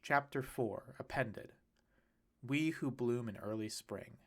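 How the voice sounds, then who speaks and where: A man's voice: mid-range voice, slow pace. A man narrates a piece of fiction in a mid-range voice. He reads from a script with expression, in the manner of an audiobook.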